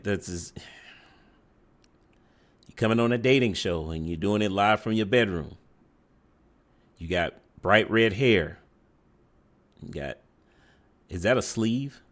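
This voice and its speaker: A man with a very low, deep voice gives reaction commentary on a dating clip. He speaks haltingly, full of fillers and restarts, with a judgmental tone. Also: fairly bright tone. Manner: halting, many fillers and restarts, tags "right?".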